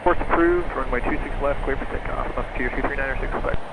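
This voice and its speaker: Creaky male pilot on very noisy radio. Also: medium pitch, fairly even intonation, fast talker. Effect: aviation radio. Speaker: pilot on air traffic radio. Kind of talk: terse readbacks and requests. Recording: very noisy, distant-sounding cockpit radio transmission.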